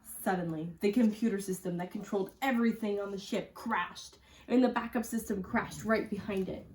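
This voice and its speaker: A woman's voice: mid-range voice, steady tone, normal speed, a little mellow, a little hiss or hum. Woman reading a children's story, distant mic. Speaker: school storyteller. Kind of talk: children's picture book read-aloud.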